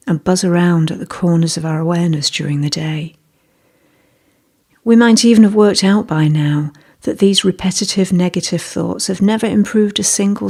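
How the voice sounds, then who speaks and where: Guided meditation narrator, low-key instructive manner, breathy and husky, creaky fry, measured delivery. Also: darkish tone.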